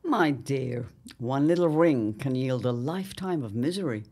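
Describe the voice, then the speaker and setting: A woman playing a haughty, barbed older mother-in-law, a 1910s society matron in an audio drama, imperious and deliberate. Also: extremely low pitch, lively intonation, dark tone, full character voice. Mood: ominous. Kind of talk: period audio drama acting.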